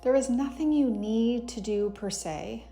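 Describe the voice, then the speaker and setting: A woman yoga teacher leads a guided meditation at a very slow pace. Her voice is mellow and relaxed, with a gentle, soothing delivery. Music plays behind her as she gives unhurried instructions. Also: fairly high pitch.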